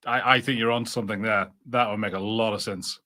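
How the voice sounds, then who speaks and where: A man co-hosting a podcast, chatting casually with halting starts and acted-out imagined lines. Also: on the low side, fairly even intonation, sharp tone. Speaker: podcast co-host. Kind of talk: casual review chat.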